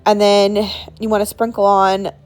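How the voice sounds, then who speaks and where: A woman's voice: medium pitch, level tone, normal speed, rough recording. A woman in her thirties hosting a home cooking video, talking casually through a recipe with lots of asides, her voice congested from a sinus infection. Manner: chatty, frequent "like" and asides. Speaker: cooking channel host.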